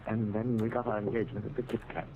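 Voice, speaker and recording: A man as a low-voiced, proper ventriloquist. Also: little pitch movement, quick pace, close to an everyday voice. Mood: weary, confiding. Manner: proper, mannered, indignant. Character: aggrieved, formal male British ventriloquist recounting his troubles. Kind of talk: old-time radio drama acting and narration. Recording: noisy, tinny old radio recording.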